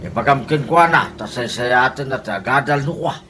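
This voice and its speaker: A man's voice: medium pitch, moderate pace, noisy background, natural voice. A man with a Filipino accent playing a questioning, doubtful older father of grown children in a radio comedy drama.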